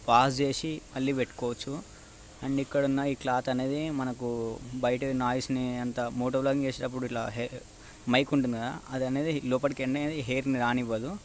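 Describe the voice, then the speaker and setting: A male vlogger with a Telangana Telugu accent talks through a casual unboxing review. His pitch is mid-range and fairly flat, and he explains in halting pieces sprinkled with English. There is some noise and ambience behind him. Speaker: motovlogger presenting a product review.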